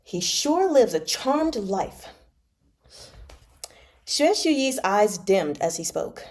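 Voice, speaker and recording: A woman hosting a read-along, reading a novel aloud and reacting in an informal, expressive, slangy way. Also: medium pitch, very slow. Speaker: host of a live book read-along.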